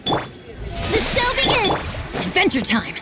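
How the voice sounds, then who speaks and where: A woman's voice: extremely high pitch, huge pitch swings, slow, fairly bright tone, soft background music, exaggerated voice. A woman voicing a tiny, childlike, exuberant adventuring companion in a video game. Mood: gleeful. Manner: exuberant, exclaiming.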